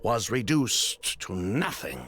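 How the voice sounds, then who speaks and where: A man voicing a guarded, condescending merchant with formal, deliberate speech in a video game. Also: lowish voice, very animated. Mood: bitter, recounting. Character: guarded, condescending male merchant with a criminal past.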